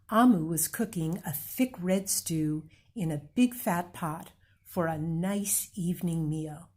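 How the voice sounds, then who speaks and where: A woman reading a children's picture book aloud, slow and gentle, pausing to point out the pictures. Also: lowish voice. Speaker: read-aloud reader.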